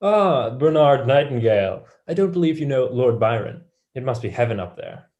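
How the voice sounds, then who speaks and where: A man's voice: medium pitch, animated, normal speed, darkish tone, lo-fi sound, lightly acted. A young man, a student actor, playing a wry, dry-witted mathematician in a staged play reading. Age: young adult.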